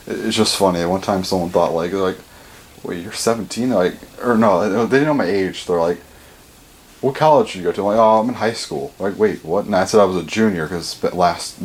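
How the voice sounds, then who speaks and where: Teen male vlogger, seventeen, fairly low voice, rambling casual delivery with frequent fillers and swearing, faint hum behind. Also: brisk. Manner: pitch jumps up often when stressing a word.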